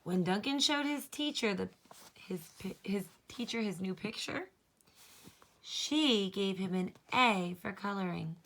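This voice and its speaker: A woman's voice: medium pitch, animated, a little slow, fairly bright tone. A woman teacher reading a children's storybook aloud, expressively, and explaining things in simple terms.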